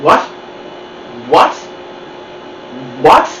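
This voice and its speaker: A man voicing an excitable, nervous family dog in a children's story, incredulous and easily flustered. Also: high voice, very slow, slight background noise, voice pushed up, exaggerated voice. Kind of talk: animated children's story reading. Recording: muffled, roomy, distant mic.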